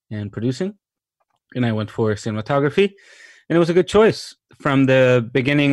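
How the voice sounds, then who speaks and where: A man from Mexico, a cinematographer, talking about his career in a relaxed interview with frequent fillers and restarts. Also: medium pitch, no echo. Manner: thoughtful, many fillers and restarts, enthusiastic. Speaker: cinematographer guest.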